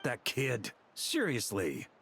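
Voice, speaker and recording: An actor playing a seasoned, weary knight commander in a video game, gruff but principled in a dramatic delivery. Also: male voice, mid-range voice, wildly swooping pitch, slow pace, bright, forceful tone, a little hiss or hum, full character voice. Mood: stern, commanding.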